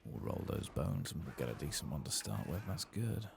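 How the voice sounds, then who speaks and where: The soft, very low voice of a man on a late-night ASMR game stream, murmuring and half-whispering as he plays, a faint hiss behind him. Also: very animated, fairly quick, vocal fry. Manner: soft-spoken, often slipping into whispers. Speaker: host of an ASMR game playthrough.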